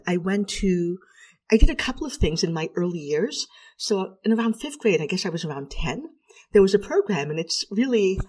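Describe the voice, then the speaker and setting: A woman's voice: lowish voice, darkish tone, husky. A middle-aged woman from Trinidad, a clinical psychologist, telling her story in a reflective, articulate way.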